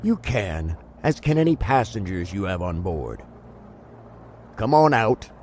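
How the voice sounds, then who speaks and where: Guy doing a surly fantasy bandit, lowish voice, drags his words, blunt n threatening, slight noise behind. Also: big pitch swings, measured pace. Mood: smug, demanding. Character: surly bandit toll collector, blunt and menacing. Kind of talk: fantasy audio drama acting.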